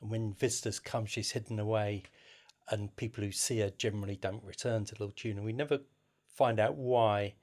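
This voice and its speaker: A man's voice, a bit on the low side. He speaks as an interviewer in a literary conversation, setting up his questions in measured phrases. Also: steady tone, a little mellow.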